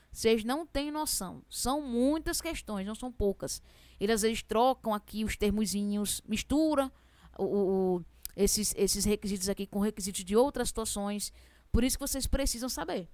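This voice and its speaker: A young woman with a strong regional accent teaching law for civil service exams, fast-talking and chatty, asking questions and answering them herself. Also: a little high, darkish tone, no echo. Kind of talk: exam prep lesson.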